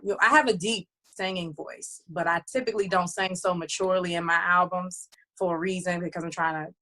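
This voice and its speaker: A woman's voice: fairly low pitch, pushed and bright. A woman recording artist who also runs a label, talking loosely and chattily in a casual interview, with many fillers and restarts.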